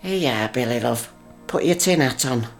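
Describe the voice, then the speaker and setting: A woman's voice: rumbling low, lively intonation, normal speed, faint music behind. A woman playing a fussy, prim housewife and mother in a radio comedy sketch, scolding and a little pretentious. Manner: prim, scolding, pretends to more refinement than she has.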